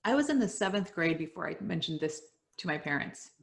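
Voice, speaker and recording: Middle-aged woman, Spanish first language, low-ish voice; measured, earnest personal storytelling. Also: expressive, gravelly. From United States. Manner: earnest, measured, dropping soft at line ends. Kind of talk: reading a personal essay. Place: home, virtual event.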